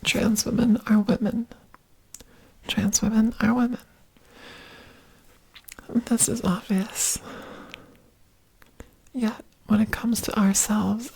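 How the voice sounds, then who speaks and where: A woman whispering in an earnest ASMR talk, breathy, with giggly asides. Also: mid-range voice, poor audio. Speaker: ASMR creator.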